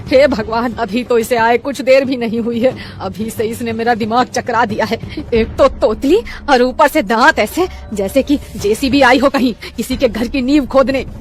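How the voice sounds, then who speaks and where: A woman's voice: on the high side, moderate pace, few pauses, close to an everyday voice. A woman voicing a scolding, mocking mother-in-law in a cartoon story. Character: scolding middle-aged mother-in-law, sharp-tongued. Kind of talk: cartoon voice acting.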